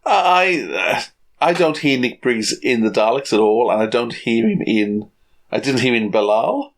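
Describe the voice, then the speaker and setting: A man with a medium-pitched voice co-hosts a podcast. His delivery is casual review chat, halting as he feels for the right word mid-thought. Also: expressive. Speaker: podcast co-host.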